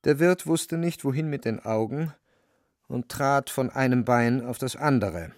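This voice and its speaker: A man narrating a literary radio drama in a measured, wry storytelling manner. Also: medium pitch, no echo, clean studio sound.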